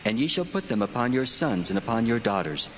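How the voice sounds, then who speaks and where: An actor playing God in a dramatized scripture reading, speaking in a solemn, measured way. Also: male voice, mid-range voice, big pitch swings, a bit bright, a little hiss or hum. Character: God, deep commanding divine male voice. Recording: long echoing reverb with music.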